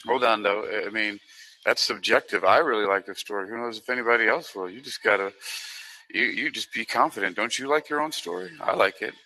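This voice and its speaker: Bright-voiced man, fairly low, chatting casually. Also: brisk, poor audio. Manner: encouraging, hesitant phrasing. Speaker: podcast guest. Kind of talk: casual chat.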